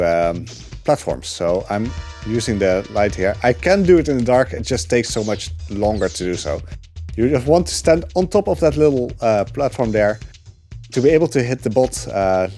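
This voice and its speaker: A man giving relaxed live commentary on his speedrun, explaining the route with frequent fillers. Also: on the low side.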